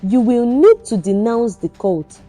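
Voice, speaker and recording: Woman narrator as a solemn, slow-spoken pastor. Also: a little high, expressive, warm tone, soft background music. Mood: solemn warning. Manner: solemn, instructing.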